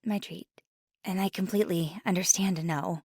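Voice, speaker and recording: Nervous female paranormal investigator played by a woman, ASMR roleplay. Gravelly fry, halting and earnest, very dry close sound. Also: on the low side, fairly even intonation, darkish tone, no echo. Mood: earnest, subdued. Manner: hesitant, stammering, rambling. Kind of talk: ASMR roleplay, intimate one-sided dialogue.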